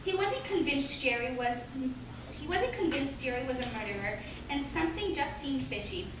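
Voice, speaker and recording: High-voiced woman narrator in echoey room. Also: expressive, moderate pace. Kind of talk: scripted radio play narration. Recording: very echoey room, distant mic, clear background noise.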